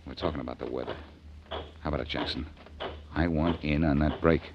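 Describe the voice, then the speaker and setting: An actor playing a shrewd, tough convict hero in a 1940s radio crime drama, with clipped, insistent talk. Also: male voice, rumbling low, brisk. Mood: cool, insistent. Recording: muffled, noisy 1940s radio recording.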